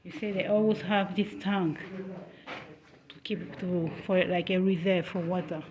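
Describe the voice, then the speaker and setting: A woman vlogger, speaking English as a second language, hosting a casual walking tour of a house under construction, with halting phrasing and restarts. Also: fairly low pitch, steady tone, dull audio, a crowd in the background. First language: not English. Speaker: travel vlogger, host.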